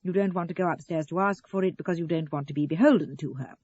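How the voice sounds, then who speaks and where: A woman voicing a curious, perceptive man in an audio story, questioning, reasonable and gently needling. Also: lowish voice, quick pace, muffled recording, close to an everyday voice. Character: curious, perceptive man, mildly long-suffering houseguest.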